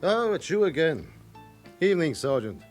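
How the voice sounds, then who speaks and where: An actor playing a slyly genial London club owner with criminal ties in a radio drama, teasing and ingratiating. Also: male voice, fairly high pitch, big pitch swings, moderate pace, darkish tone, faint music behind. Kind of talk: naturalistic radio drama acting.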